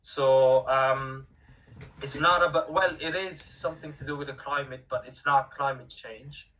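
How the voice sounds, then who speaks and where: A young man whose accent mixes Cork and Filipino, talking as a podcast guest and often pausing with fillers and restarts. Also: medium pitch, fairly even intonation, a bit bright, distant mic, poor audio. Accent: mixed Cork and Filipino. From Ireland. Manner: hesitant, many fillers and restarts. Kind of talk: casual conversation.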